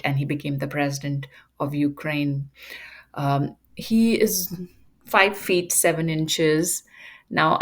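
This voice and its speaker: Woman, low voice, educator-style monologue, halting with ums. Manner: measured, frequent ums and uhs. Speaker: educator presenting a topic. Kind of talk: unscripted lecture monologue.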